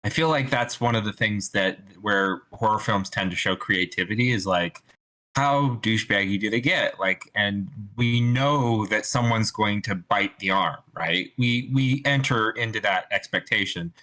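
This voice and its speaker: A man's voice: on the low side, moderate pace, bright, forceful tone, rough recording. A man giving rambling, explanatory feedback in a casual group discussion of screenplays, often checking for agreement. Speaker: participant giving script feedback. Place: online meeting.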